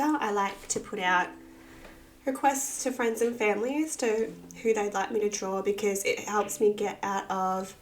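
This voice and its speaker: Husky woman with slight vocal fry. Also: mid-range voice, a little hiss or hum. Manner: chatty and self-deprecating. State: husky from a cold. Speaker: artist and channel host. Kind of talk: casual sketchbook tour vlog.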